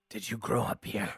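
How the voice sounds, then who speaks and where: An actor in an audio drama playing a blunt, hot-tempered henchman from the streets, talking in casual, rough slang. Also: lowish voice, fairly bright tone, no echo, heavily acted.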